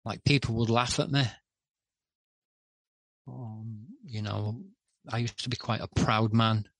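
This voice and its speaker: Hesitant low-voiced man, dry sound. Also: fairly even intonation, slow pace, a bit bright, dry recording, poor audio. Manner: hesitant, many "um"s and restarts. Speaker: podcast guest. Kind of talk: candid interview talk.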